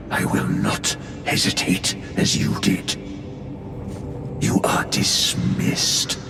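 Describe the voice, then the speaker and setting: An actor playing a stern, suspicious military chaplain in a game cutscene, with a gravelly voice and slow, accusing delivery. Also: male voice, mid-range voice. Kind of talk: dramatic game cutscene acting. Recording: echoey, distant, under loud score.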